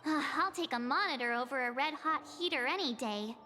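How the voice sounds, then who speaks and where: A woman voicing a perky, easily flustered teenage girl adventurer in a video game, chatty and expressive. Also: sits high, brisk, noisy background, close to an everyday voice. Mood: chatty, wry. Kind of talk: acted video game dialogue. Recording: reverberant, with music behind.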